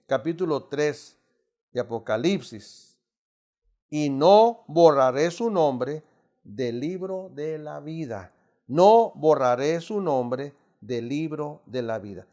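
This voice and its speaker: A man's voice: a little high, darkish tone. Emphatic Mexican man teaching Bible study. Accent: Mexican Spanish. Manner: emphatic, repeatedly addressing listeners as brothers.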